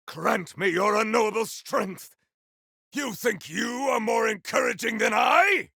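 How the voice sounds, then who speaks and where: Game role: bombastic, honorable cyborg warrior, slow declamatory delivery, often shouted, raspy edge. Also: male voice, high-pitched, no echo, studio quality, heavily acted. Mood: battle fury. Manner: theatrical, declamatory, grandiose. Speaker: video game voice actor. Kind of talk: video game combat barks.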